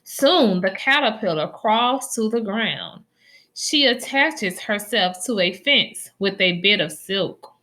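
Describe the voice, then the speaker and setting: A woman reading a children's nonfiction story aloud in a deliberate, instructional way for young listeners. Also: mid-range voice, lively intonation, pushed and bright, low-quality recording.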